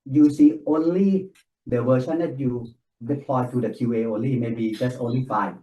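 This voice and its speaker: A man's voice: mid-range voice, normal speed, dark tone, reverberant, rough recording. A man leading a casual work meeting, explaining technical steps one at a time in non-native English. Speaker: technical consultant presenting to a client team.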